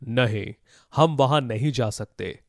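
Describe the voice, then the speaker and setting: A man voicing a gentle, worried magical blue eggplant in a children's story, speaking earnestly. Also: mid-range voice, slow pace, vocal fry, dry recording, studio quality. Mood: concerned. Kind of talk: Hindi children's moral story voice acting.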